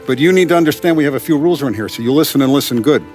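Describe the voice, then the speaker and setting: An actor playing a paternal, authoritative Italian-American mafia boss in a 1930s crime game, speaking deliberately. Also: male voice, medium pitch, darkish tone, natural voice. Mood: stern, instructive. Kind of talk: cinematic video game acting. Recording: music behind the voice.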